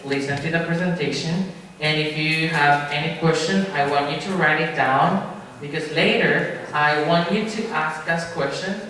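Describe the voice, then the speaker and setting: A young man addresses a class in a loose, joking way, often checking that the students follow him. His voice sits a little high. It comes from across a very echoey classroom with faint noise behind it. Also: bright, forceful tone, distant mic. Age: 20s. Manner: casual, joking, checks in with the audience. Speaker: guest presenter.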